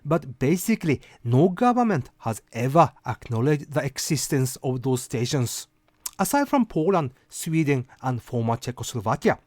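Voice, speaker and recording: A man's voice: mid-range voice, sing-song, normal speed, no room sound. A man hosting an informal commentary monologue, speaking conversationally with rhetorical asides to the listener. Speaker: host of a weekly rant video show.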